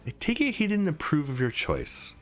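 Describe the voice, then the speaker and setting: A man's voice: a little high, wildly swooping pitch, moderate pace, soft background music, pitched above the performer's own voice. A man voicing a guarded, brooding man with dry wit and terse delivery in a visual novel.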